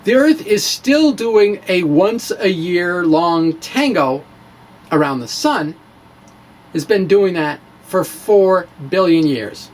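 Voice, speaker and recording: A man giving a theatrical, emphatic dramatic reading of a science blog, with deliberate pauses. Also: high-pitched, expressive, low-quality recording. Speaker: science blogger and presenter.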